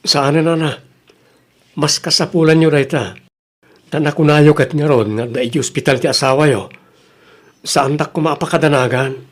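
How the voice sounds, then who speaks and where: A man playing a humble, kindly grandson in a radio drama, with an earnest and gentle delivery. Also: fairly high pitch, steady tone, moderate pace, pauses often, natural voice. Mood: kindly, modest. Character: humble, kindly grandson, patient under suspicion. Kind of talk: Ilocano radio drama acting.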